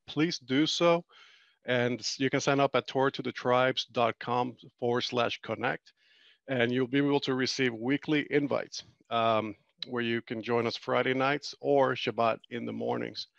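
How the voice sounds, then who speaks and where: Gravelly, halting man opening a teaching. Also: mid-range voice, a bit bright, no echo. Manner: halting, many fillers and restarts. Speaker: host introducing a teacher. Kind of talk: loose, rambling introduction. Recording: dull, muffled sound.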